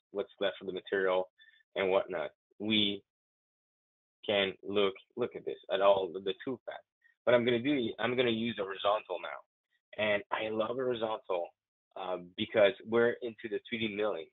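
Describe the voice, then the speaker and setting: Enthusiastic man demoing CAM software. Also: fairly low pitch, no room sound. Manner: enthusiastic, chatty, many restarts. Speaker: software demonstrator. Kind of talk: live software demo walkthrough. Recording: tinny phone-like, dry audio.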